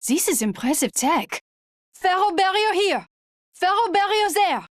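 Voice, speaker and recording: A woman's voice: extremely high pitch, sing-song, unhurried, no room sound, clean studio sound, exaggerated voice. A woman playing a spirited, enthusiastic young fighter in a video game, calling out what she spots. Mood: bright, impressed. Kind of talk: video game character callouts.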